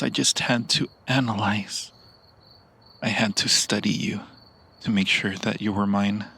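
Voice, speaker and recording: Slow, creaky seductive vampire played by a man. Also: lowish voice, bright, forceful tone, heavily acted. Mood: mournful, vowing devotion. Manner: slow, romantic, theatrical, lingering pauses. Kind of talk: intimate ASMR roleplay, improvised monologue.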